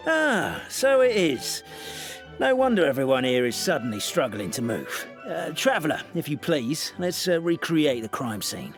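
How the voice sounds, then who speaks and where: An actor playing a theatrical, dithering official in a fantasy game, hesitant, dramatic and wry. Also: male voice, a little high, big pitch swings, a little slow, faint music behind. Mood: sheepish. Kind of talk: video game voice acting.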